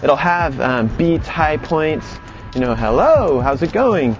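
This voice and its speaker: A man's voice: on the high side, animated, slow, muffled recording, faint music behind. A man giving a lesson as an instructor, explaining in a conversational way. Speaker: animation acting instructor.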